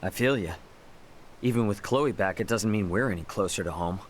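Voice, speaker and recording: A man's voice: on the low side, normal speed, slight background noise, lightly acted. A man playing an easygoing, loyal young adventurer in a fantasy video game, talking casually. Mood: reassuring. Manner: casual, colloquial. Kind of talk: English video game voice acting.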